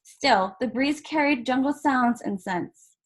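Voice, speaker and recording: Higher-voiced woman reading a children's book aloud. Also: slow, poor audio. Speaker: volunteer story reader.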